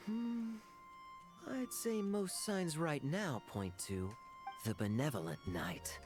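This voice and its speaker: Suave teasing knight captain from a game, swoopy delivery, music playing behind. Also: male voice, fairly high pitch, animated, slow. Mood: sly teasing. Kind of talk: video game voice acting.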